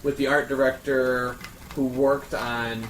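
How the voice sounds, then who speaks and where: A man's voice: mid-range voice, flat delivery, slow, a bit bright. A man in casual round-table chat, explaining in detail like an earnest fan. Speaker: panelist on a friends' discussion show. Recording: echoey room with background noise.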